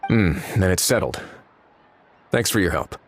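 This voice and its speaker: An actor playing a calm, authoritative male warden in a video game, speaking in a cool, measured, dryly commanding way. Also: low voice, a little hiss or hum. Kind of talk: video game cutscene dialogue.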